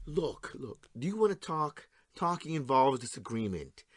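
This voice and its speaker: A man's voice: mid-range voice, big pitch swings, moderate pace, slightly rough, no echo. Sardonic, argumentative working man, nursing resentment. Audio drama, mid-range voice, close dry sound. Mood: wheedling. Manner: plain-spoken, sardonic, argumentative. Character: needling, resentful blue-collar man, wounded and combative. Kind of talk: stage-style audio drama acting.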